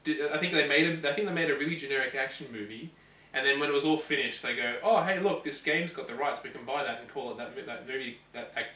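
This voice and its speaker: A man co-hosting a casual gaming show, counting down a list in a halting, repetitive, jokey sarcastic way. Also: medium pitch, pushed and bright. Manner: halting, repeats phrases, sarcastic joking. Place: echoey indoor room, voice away from mic. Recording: echoey room, distant mic.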